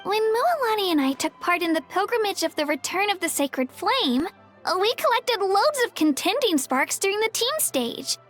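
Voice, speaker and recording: Sweet young girl adventurer, very high and lively, earnest, game music underneath. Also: normal speed, full character voice. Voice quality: childlike, girlish. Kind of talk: video game voice acting.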